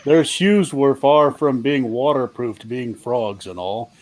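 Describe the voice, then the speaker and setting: Slow-paced man narrating a story. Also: mid-range voice, darkish tone. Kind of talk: dramatic scripted narration.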